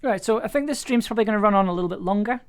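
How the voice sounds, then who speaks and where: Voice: mid-range voice, very fast, clean tone. A streamer chatting casually while editing audio, thinking aloud in a self-deprecating way. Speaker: livestream host, audio drama producer. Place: indoors at a computer, streaming.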